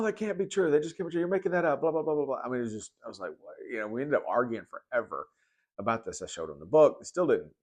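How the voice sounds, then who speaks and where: Man, American accent, low-pitched, middle-aged, informal devotional storyteller, conversational. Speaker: host of a church read-along.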